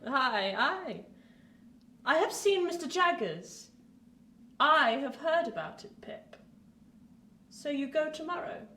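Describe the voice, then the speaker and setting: A woman's voice: high voice, big pitch swings, slow, long pauses, bright, forceful tone, full character voice. A woman playing an eccentric, imperious older lady in a theatrical radio play. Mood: grand, eerie. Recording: roomy echo.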